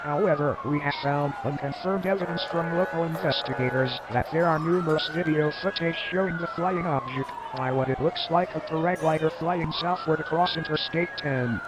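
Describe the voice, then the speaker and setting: A man's voice: a little high, moderate pace, pauses often, close to an everyday voice. A man playing a field reporter who gives a formal, wordy, cautious scripted live news report. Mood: grave. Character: male field reporter at an attack scene. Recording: loud street noise with police sirens. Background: sirens, emergency vehicles, outdoor traffic.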